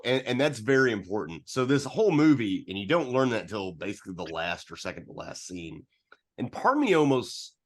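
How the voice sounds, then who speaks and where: A man with a fairly low voice talks casually as a podcast host. He is earnest and rambling, often breaking off and restarting a thought as he goes. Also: fairly bright tone. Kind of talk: casual film discussion.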